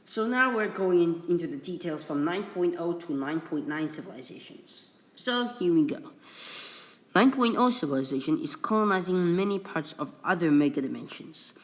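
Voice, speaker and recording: A young man from Indonesia, an English speaker, casually explaining things he reads off a wiki, stumbling and restarting often. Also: on the high side. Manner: halting, many restarts and apologies. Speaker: video creator. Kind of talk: casual screen-recorded explainer reading from a wiki.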